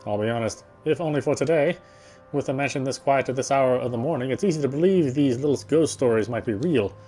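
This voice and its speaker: A man reading first-person story narration aloud in a theatrical, fussy gentleman's manner. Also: mid-range voice, fast, a little hiss or hum. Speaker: game player reading aloud.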